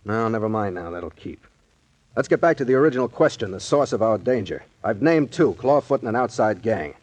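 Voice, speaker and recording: An actor playing a composed, authoritative captain who questions methodically in a 1940s radio mystery. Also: male voice, lowish voice, animated, a little fast, a little mellow. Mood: probing, pointed. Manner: methodical, probing, commanding.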